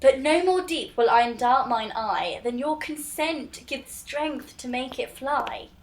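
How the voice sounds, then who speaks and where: A woman plays a dutiful young maiden in a Shakespearean audio play. Her voice is high and unhurried, with modest, measured politeness. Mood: demure. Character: dutiful, modest, demure teenage noble girl. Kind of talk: Shakespearean audio drama acting.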